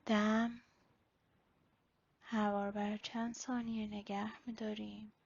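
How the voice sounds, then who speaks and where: A woman leading a guided body-scan meditation in a slow, instructional way with pauses. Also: mid-range voice, little pitch movement, vocal fry. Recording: slightly dull, lo-fi recording.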